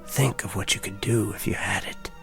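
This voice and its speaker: An actor playing a calculating, quietly persuasive man in a television drama, speaking deliberately and insistently like a salesman closing a deal. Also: on the low side, vocal fry, faint music behind. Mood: coaxing, persuasive.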